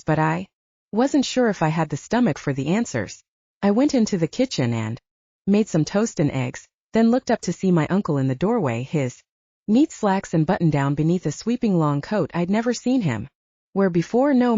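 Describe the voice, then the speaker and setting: A woman's voice: on the low side, sing-song, moderate pace, dark tone, no echo. A woman reading scripted first-person fiction as an audiobook narrator. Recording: dull, slightly muffled dry recording.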